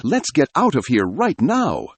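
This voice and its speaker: A man plays an urgent, dutiful geologist in an audio story. His delivery is agitated and swings dramatically in pitch, with a slightly rough edge to the voice, recorded dry and close. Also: wildly swooping pitch, measured pace, dry recording, heavily acted. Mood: alarmed, urgent. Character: male geologist, urgent, dutiful, alarmed and shouting.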